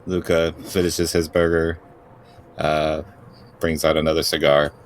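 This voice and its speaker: A man's voice: rumbling low, flat delivery, measured pace, a little hiss or hum. A man playing a tabletop role-playing game, switching between improvised in-character talk and narrating his character's actions.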